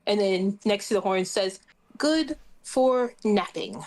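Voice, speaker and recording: A woman's voice: medium pitch, expressive, slow pace, a little mellow. A woman chatting casually and playfully as a player in an online tabletop roleplaying game.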